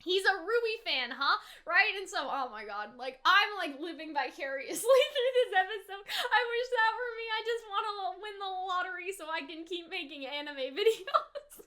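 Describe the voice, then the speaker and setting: A woman's voice: very high voice, lively intonation, moderate pace, few pauses, bright, forceful tone. A woman hosting an anime reaction video, chatting casually and animatedly, sometimes acting out lines as asides. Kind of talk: casual chatty episode review.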